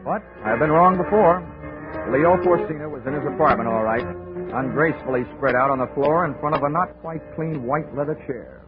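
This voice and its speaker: A man's voice: a little high, brisk, close to an everyday voice. Brief: a man as hard-boiled detective, dry wisecracks, tinny old broadcast with music. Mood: wry. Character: wry hard-boiled male private investigator. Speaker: insurance investigator. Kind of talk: 1940s radio acting with first-person narration. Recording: scratchy phone-like 1940s radio broadcast.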